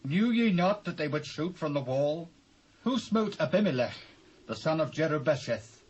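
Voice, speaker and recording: A British actor playing a forceful ancient army commander giving orders in a dramatised Bible reading, on an old cassette recording. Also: male voice, fairly high pitch, expressive, normal speed, breathy edge. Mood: stern, urgent. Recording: dull old cassette tape.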